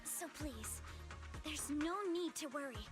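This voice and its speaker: A spirited young heroine from an anime-style game. Her high voice swings expressively and stays polite, articulate and earnest. Music plays behind the lines. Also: lively intonation, slow. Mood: earnest, composed. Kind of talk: video game voice acting. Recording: game dialogue over clear music.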